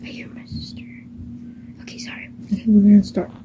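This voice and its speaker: A woman's voice: brisk, warm tone. A woman chatting casually and nervously in a half-whisper, rambling with fillers before a homemade audio drama. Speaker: fan audio creator. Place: home. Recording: dull muffled home recording.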